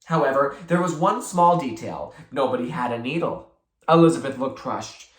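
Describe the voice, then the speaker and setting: A reader giving an expressive, dramatic read-aloud of a children's story. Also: medium pitch, normal speed. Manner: animated, dramatic. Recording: roomy, echoey sound.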